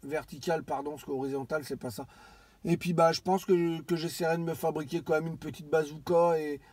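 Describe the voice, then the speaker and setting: A man with a somewhat high voice talks in a dry, close sound. He rambles casually as a radio hobbyist, hesitating and circling back over his own phrases. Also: fairly even intonation, brisk, a little mellow, dry recording. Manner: rambling, hesitant, repeats himself. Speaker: amateur CB radio operator.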